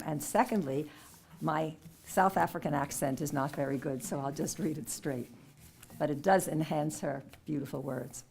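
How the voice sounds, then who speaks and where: A woman hosting a poetry contest event in a village hall, reading poet bios and poems aloud in a clear, measured way. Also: sits low, a little mellow. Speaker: contest host.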